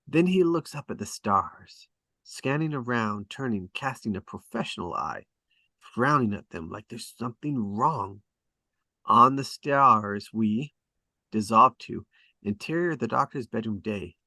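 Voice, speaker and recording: A man reading stage directions aloud at a script table read, in a deliberate, descriptive way. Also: lowish voice, sharp tone, no echo.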